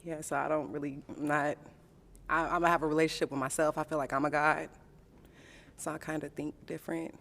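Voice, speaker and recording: A woman's voice: sits low, flat delivery, fairly quick, fairly bright tone, long reverb tail. A woman in her forties, a dating show contestant, talking in a chatty, easygoing way. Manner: chatty, easy laughs. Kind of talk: casual chat.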